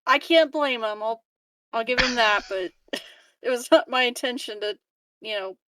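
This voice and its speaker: A woman's voice: a little high, a little slow, background noise. A woman playing a tabletop game, speaking hesitantly with many fillers and restarts. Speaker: player. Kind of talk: casual chat at a game table.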